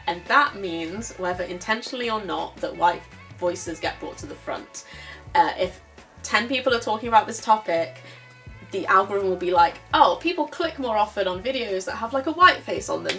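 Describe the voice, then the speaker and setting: A woman's voice: on the low side, creaky. A woman speaking as a panellist, answering audience questions in an informal, candid and explanatory way. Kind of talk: informal opinionated discussion. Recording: distant mic, music underneath.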